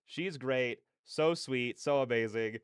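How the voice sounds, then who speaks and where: Brief: young male reaction host, mid-pitched, casual and rambling, recorded dry and close. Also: slow pace, clean tone, dry recording. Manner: rambling, repeats phrases. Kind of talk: casual, off-the-cuff reaction commentary.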